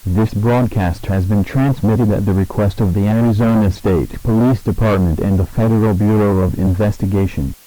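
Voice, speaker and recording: Low, mellow male emergency announcer. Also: fairly even intonation, dry recording, poor audio. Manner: formal, grave, measured. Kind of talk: scripted emergency alert narration.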